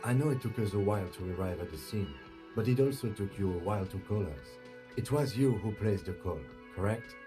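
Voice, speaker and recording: An actor playing a calm, restrained police lieutenant in a video game, speaking in a measured, formal, matter-of-fact way. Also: male voice, lowish voice, over music, close to an everyday voice. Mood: questioning, polite.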